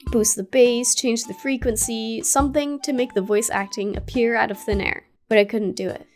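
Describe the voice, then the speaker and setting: A woman's voice: mid-range voice, gravelly. A woman narrating a scripted gaming video essay in a curious, playful way, with casual asides. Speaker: gaming YouTuber.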